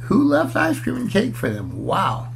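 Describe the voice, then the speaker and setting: Guy in his 60s reading a kids book out loud, slow n very expressive, bit of noise in the background. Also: high-pitched, creaky. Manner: expressive, playful, inviting listeners along. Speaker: children's storyteller. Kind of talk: picture book read-aloud with casual asides. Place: small room at home, indoors.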